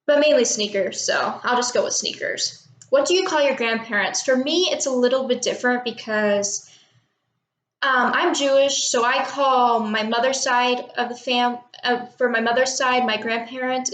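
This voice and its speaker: An 18-year-old woman from Texas, chatting casually to camera and reading out questions and a word list, unsure and filling pauses with ums. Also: fairly high pitch, fairly bright tone, roomy sound. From Texas, United States. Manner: chatty and unsure, many ums and little laughs. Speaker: vlogger doing an accent tag.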